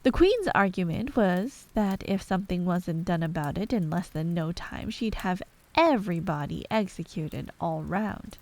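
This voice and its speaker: A woman's voice: lowish voice, lively intonation, measured pace, a little mellow. Woman narrating a bedtime storybook.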